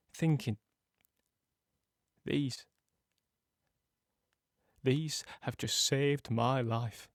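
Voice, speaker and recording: A young British soldier in a war audio play. He is shaken but dogged, reliving combat in the present tense. His speech halts and repeats, in a mid-pitched voice recorded dry and close. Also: no room sound. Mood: dazed, reflective. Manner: halting, repeating words as he relives events.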